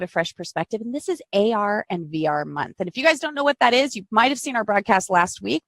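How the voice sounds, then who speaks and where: A woman's voice: medium pitch, a bit bright, no room sound. A woman hosting a live-streamed podcast interview, bubbly and gushing, often laughing. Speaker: podcast host.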